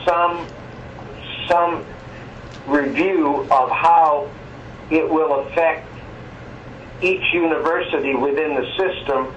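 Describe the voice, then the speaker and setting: Halting man on echoey conference line. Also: on the high side, fairly even intonation, fairly bright tone. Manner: halting, meandering. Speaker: board member. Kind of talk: informal meeting discussion. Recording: echoey muffled phone line, faint noise.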